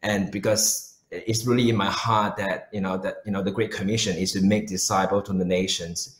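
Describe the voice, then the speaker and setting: A man's voice: low-pitched, level tone, normal speed. A man with a Malaysian accent, speaking earnestly as a pastor giving personal answers in an interview. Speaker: pastor and missionary guest. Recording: roomy, echoing sound.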